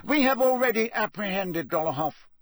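A man's voice: high-pitched, animated, normal speed, no echo, voice pushed up. A man in a storybook reading, voicing a stern Ministry official, clipped, formal and cold. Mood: cold, curt.

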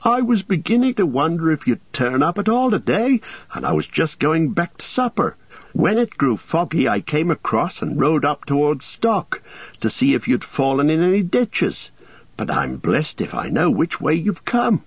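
A man voicing a cheerful young hobbit gentleman with a breezy, teasing manner, on a dull lo-fi audiobook recording. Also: on the high side, wildly swooping pitch, moderate pace, slightly rough, dry recording, voice pushed up, exaggerated voice.

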